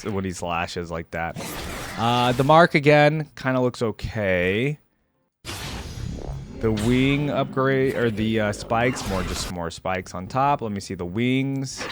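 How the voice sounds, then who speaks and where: A man hosts a casual gaming reaction. His intonation moves up and down freely as he thinks aloud in an informal, exclamatory way. Faint music plays behind his voice. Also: fairly low pitch. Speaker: video game reaction host.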